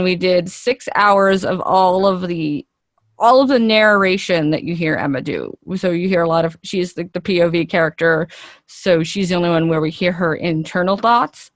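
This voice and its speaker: Low-pitched woman, casual interviewee. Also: dry recording, clean studio sound. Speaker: actress being interviewed.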